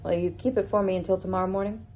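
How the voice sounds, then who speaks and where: A woman acting a shrewd, commanding lady detective in a radio mystery, speaking in a measured, formal, theatrical way. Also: mid-range voice, steady tone, darkish tone, slight background noise, close to an everyday voice. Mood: solemn.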